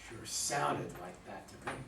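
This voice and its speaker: A man's voice: medium pitch, measured pace, creaky, noisy background. A man playing a put-out, grumbling friend who mutters in an amateur play reading. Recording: echoey room, distant mic, crowd sounds.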